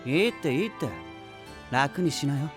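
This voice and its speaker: A man's voice: a little high, animated, normal speed, over music, natural voice. A male actor playing a boyish star knight, casual, blunt and self-assured, in an anime audio drama. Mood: cocky, relaxed. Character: easygoing, boyish star knight, cocky and sweet-toothed.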